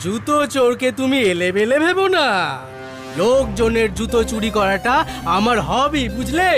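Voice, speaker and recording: A man playing a boastful, theatrical shoe-thief villain in a dubbed cartoon, gloating and rhyming. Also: very high-pitched. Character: gloating comic shoe-thief villain who hypnotizes townsfolk.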